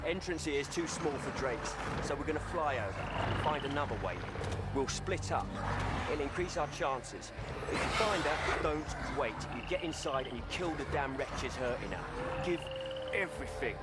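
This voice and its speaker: An actor playing a passionate, headstrong knight in a video game, with a forceful, plain-spoken delivery. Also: male voice, on the high side, expressive, moderate pace, very noisy, close to an everyday voice. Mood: rallying. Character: passionate, headstrong, loyal male dragon-riding knight. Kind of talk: scripted video game dialogue.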